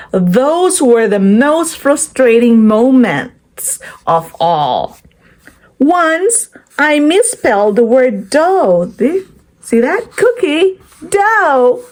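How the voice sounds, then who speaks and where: A woman reading a children's picture book aloud in a teacherly way, pausing to quiz and praise her young listeners. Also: a little high.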